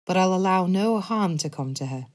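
A woman's voice: fairly low pitch, animated, moderate pace, a little mellow, voice pushed down. A woman putting on a blunt, stern household cook. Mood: firm. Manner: blunt and firm.

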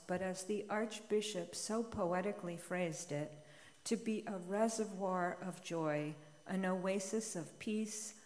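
Woman, middle-aged, medium pitch, homily read as a letter, big reverberant sanctuary. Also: darkish tone. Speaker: homilist at a church service. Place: large church sanctuary, at the pulpit. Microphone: gooseneck pulpit microphone. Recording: long hall reverb of church sanctuary.

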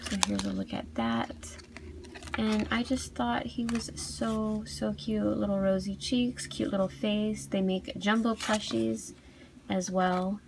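Creaky-voiced woman chatting about toys. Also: mid-range voice, expressive, takes it slowly, background noise. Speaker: toy reviewer, video host. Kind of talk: casual unboxing review chat. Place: indoors at a tabletop.

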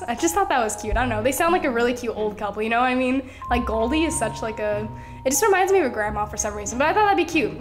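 A young woman chatting casually while she builds characters in a game, a little stuffy from being sick, rambling with lots of "like" and "you know" and small laughs. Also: on the high side. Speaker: Sims gameplay creator. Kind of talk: casual chat over gameplay.

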